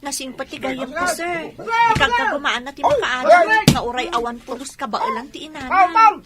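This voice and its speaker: A woman's voice: high-pitched, animated, moderate pace, over a noisy crowd. A woman playing an eager, supportive young female friend with an encouraging manner in an audio drama. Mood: excited. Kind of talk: Filipino radio-style drama acting.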